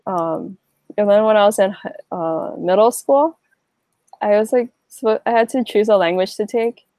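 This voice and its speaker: Husky-low young woman, rambling answers. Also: normal speed, mellow, dry recording. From Hawaii. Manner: rambling, many likes and restarts. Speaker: interviewee. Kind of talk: casual interview.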